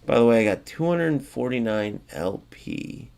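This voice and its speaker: A man's voice: mid-range voice, animated, measured pace, vocal fry, a little mellow, clear, resonant tone. A man hosting a gaming channel, chatting casually to viewers and thinking aloud as he adds up points. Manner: thinks aloud, muttering sums to himself; chatty, addressing viewers as guys. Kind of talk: casual game commentary.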